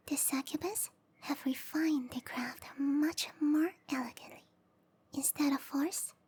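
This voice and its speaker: High, whispering seductive matchmaker played by a woman. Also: a little mellow. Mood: sultry, informative. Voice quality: breathy whisper. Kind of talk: whispered ASMR roleplay.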